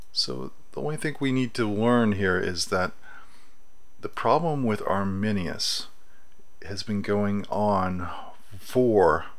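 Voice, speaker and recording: A man talking through a voice-over commentary, halting and often restarting his phrases. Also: low-pitched, a bit bright. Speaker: video essayist.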